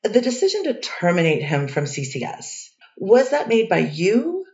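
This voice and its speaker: A woman's voice: medium pitch, huge pitch swings, normal speed, a little mellow, dull audio. Hedging woman questioning a witness, echoey. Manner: hesitant, hedging questions with many restarts. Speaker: defense attorney. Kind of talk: courtroom questioning. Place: courtroom. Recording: distant echoey courtroom audio.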